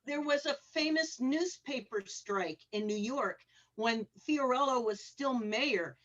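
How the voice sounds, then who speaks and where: A woman's voice: sits high, measured pace. A woman explaining things casually as a panelist, on a dull lo-fi recording. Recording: dull, lo-fi sound.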